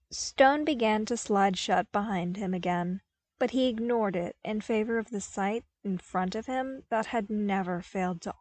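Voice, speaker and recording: A woman's voice: medium pitch, darkish tone, no room sound. Woman narrating a story, very dry sound. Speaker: podfic narrator.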